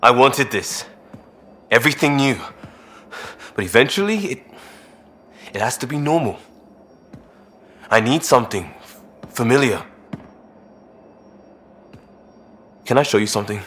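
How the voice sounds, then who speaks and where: A man playing a passionate, idealistic young crewmate in a video game, speaking earnestly and haltingly when worked up. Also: mid-range voice, animated, a bit bright, slight background noise, lightly acted. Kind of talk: naturalistic video game voice acting.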